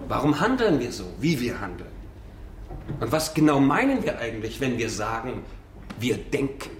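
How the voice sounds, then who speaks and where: A lofty male philosophy professor played by a man declaims in a radio drama lecture. His pitch swings with rhetorical emphasis in an echoing hall. He sounds somewhat distant, with a little background noise. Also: big pitch swings, normal speed, lightly acted. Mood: rhetorical, emphatic.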